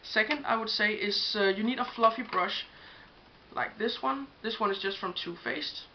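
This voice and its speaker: A woman's voice: medium pitch, moderate pace. A young woman from Denmark with a Danish accent, talking through makeup brushes in a casual, hesitant way with many ums, English not her first language. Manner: chatty, hesitant, many ums while showing products. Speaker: makeup vlogger. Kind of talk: casual beauty tutorial. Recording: dull lo-fi home recording with faint hiss.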